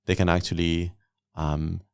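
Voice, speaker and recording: Guy hosting a podcast, low creaky voice, dry close sound, chatting casually with guests. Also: level tone, unhurried, no room sound. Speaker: podcast host interviewing guests. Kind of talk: casual conversational interviewing.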